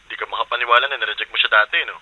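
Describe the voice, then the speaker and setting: A man with a Filipino accent acting an excitable, teasing young best friend over a phone in a radio drama. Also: fairly low pitch, quick pace, no echo, muffled recording. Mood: teasing, curious. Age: young adult. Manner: animated, nosy, slangy.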